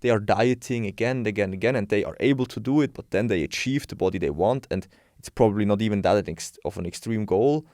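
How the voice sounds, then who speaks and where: A man's voice: lowish voice, quick pace, few pauses, a little mellow, dry recording, studio quality. A man, a coach giving frank, opinionated answers in a casual interview, thinking aloud as he goes. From Switzerland. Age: 20s. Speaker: guest, bodybuilding and health coach.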